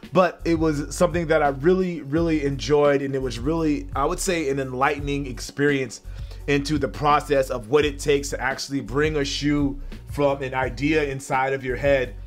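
A man's voice: a little high, fairly even intonation, moderate pace, faint music behind. An upbeat man who has a bit of a cold, chatting casually straight to his viewers as he unboxes sneakers. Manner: upbeat, rambling, talks to viewers directly.

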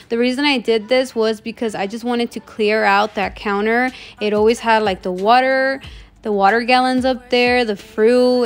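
A woman in her thirties casually narrating her home cleaning in a voice-over. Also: on the high side. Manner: casual, chatty, matter-of-fact. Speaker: cleaning vlogger. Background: background music.

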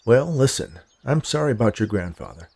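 A man voicing a polite, persistent male land buyer in a story, with a calm, folksy, disarming manner. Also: medium pitch, a little fast, vocal fry, slightly rough, full character voice. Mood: sympathetic, firm.

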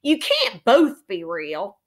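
A woman's voice: medium pitch, wildly swooping pitch, slow, exaggerated voice. A woman voicing a tiny, weepy, bewildered cowboy man in a children's storybook, with a folksy American cowboy drawl and plenty of slang.

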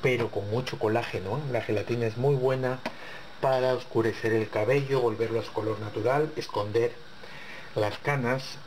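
A man's voice: mid-range voice, steady tone, normal speed, slight background noise, rough recording. A man hosting a home cooking channel, talking viewers through a dessert step by step in his kitchen. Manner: chatty, talks to viewers while working. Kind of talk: casual cooking tutorial.

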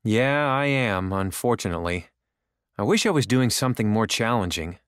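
A man's voice: on the low side, lively intonation, moderate pace, fairly bright tone, smooth, no echo, clean studio sound. An actor playing an ordinary man making small talk in a language-course dialogue. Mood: discontented.

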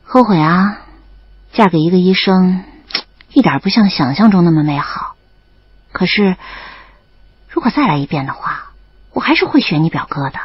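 A woman playing a gentle, knowing sister-in-law in an audio drama, speaking softly and wistfully. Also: lowish voice, lively intonation, moderate pace, long pauses, husky, dry recording, dull audio.

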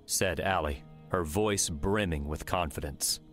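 A man's voice: low voice, unhurried, a bit bright, soft background music. A man reading scripted fiction as the narrator of an audiobook.

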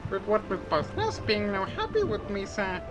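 A man voicing a cowardly, cheerful frog-like alien outcast in a comic dub, rambling excitably in pidgin baby-talk. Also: high voice, moderate pace. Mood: sheepish. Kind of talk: comic dub character acting. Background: music.

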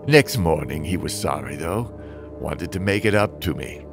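Male actor as bitter stunt driver narrating a motion comic, very low register, wide dramatic swings, brooding. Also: expressive. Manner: slow, brooding, theatrical, swinging in intensity. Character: bitter, haunted male demolition derby driver with a death wish. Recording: music bed behind the voice.

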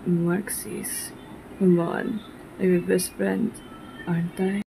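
Woman doing a creepy fake-friend monster, slow n taunting, horror comic dub, noisy recording. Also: lowish voice, flat delivery, vocal fry, dark tone. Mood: sly pleading. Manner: coaxing, drawn-out, sinister. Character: menacing, taunting monster posing as a male friend.

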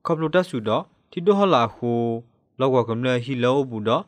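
A man's voice: mid-range voice, lively intonation, moderate pace, dry recording. A man reading scripted radio news. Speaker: news reader.

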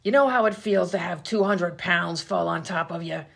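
A woman playing a gruff, bossy 1920s vaudeville comedienne, slangy and sarcastic, in an old-time radio comedy sketch. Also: on the low side, moderate pace. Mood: exasperated. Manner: brash, slangy, sarcastic insults.

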